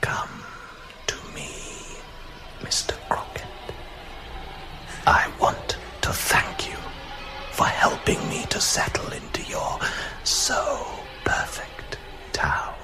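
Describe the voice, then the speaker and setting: An actor playing a sinister, courteous foreign vampire lord in a radio drama, formal and menacing. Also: male voice, whispered, close to an everyday voice. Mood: fervent menace. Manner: slow, formal, menacing, breathy delivery. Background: dramatic music.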